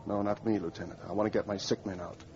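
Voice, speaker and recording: A stern Army captain played by a male actor in an old radio play. He is weary, terse and protective of his men, with dramatic 1940s delivery. The recording is muffled, with clear background noise. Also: fairly low pitch, steady tone, fast talker, darkish tone, close to an everyday voice. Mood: grave, resigned.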